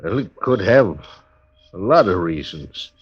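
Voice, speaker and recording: A man's voice: deep voice, big pitch swings, unhurried, husky, no echo, exaggerated voice. A man acting a guarded, troubled American frontier station keeper in an old-time radio western, speaking in a laconic rustic drawl. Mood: brooding, stubborn. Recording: dull, dry old radio recording.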